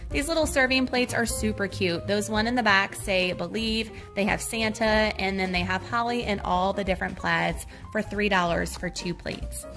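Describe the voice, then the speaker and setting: A woman's voice: mid-range voice, normal speed, hardly any pauses, fairly bright tone, faint music behind. A woman with a Southern US accent, chatting casually through a shopping vlog, upbeat as she points out items and prices, recorded on a handheld phone in a big store. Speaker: shopping vlogger. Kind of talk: casual shop-with-me narration. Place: large retail store, indoors. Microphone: handheld phone camera.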